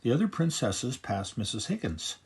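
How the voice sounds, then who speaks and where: An older man reading a children's picture book aloud in a gentle, grandfatherly storytelling manner. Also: mid-range voice, big pitch swings, normal speed, slightly rough. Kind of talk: children's read-aloud narration.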